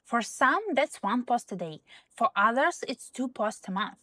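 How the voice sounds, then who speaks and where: Direct, higher-voiced woman, non-native English speaker. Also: lively intonation, a little slow, a bit bright, no echo, studio quality. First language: not English. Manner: direct, emphatic, advice-giving. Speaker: social media and branding consultant. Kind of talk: scripted talk to camera.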